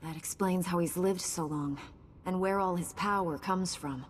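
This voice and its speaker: An actress playing a firm, guarded Jedi knight in a video game, speaking directly and in a businesslike way. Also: female voice, lowish voice, normal speed, slight background noise, lightly acted. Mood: serious, steady. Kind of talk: video game cutscene acting.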